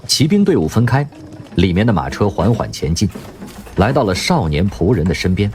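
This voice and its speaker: A man narrating a radio drama in a vivid, expressive storytelling manner. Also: on the low side, normal speed, slight background noise. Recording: music underneath.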